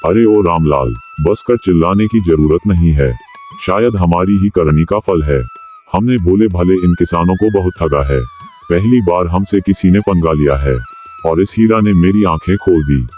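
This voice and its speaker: An actor voicing a greedy, scheming village landlord in a cartoon who is bossy and talks down to people. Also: male voice, low voice, moderate pace, darkish tone, muffled recording, soft background music, natural voice. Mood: remorseful. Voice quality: bossy, scornful, talks down to the farmer.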